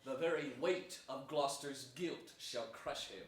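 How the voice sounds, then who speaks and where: A man's voice: mid-range voice, unhurried, lightly acted. Man playing noble young earl, distant and echoing. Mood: rousing. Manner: declamatory, earnest. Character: noble, hopeful young rebel earl rallying troops. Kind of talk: theatrical Shakespearean staged reading. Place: theater stage before an audience, indoors. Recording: echoey theater, distant microphone.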